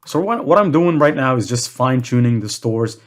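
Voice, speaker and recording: A man's voice: medium pitch, lively intonation, brisk, music in the background. A young man from Morocco hosting a screen-recorded tutorial, talking in a casual way with self-deprecating jokes. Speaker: tutorial host.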